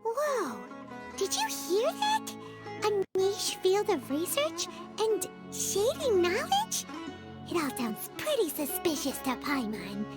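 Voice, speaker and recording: A woman voicing a tiny, excitable, childlike floating companion in a video game. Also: very high voice, full character voice. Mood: surprised, suspicious. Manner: refers to herself in the third person. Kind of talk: animated video game voice acting.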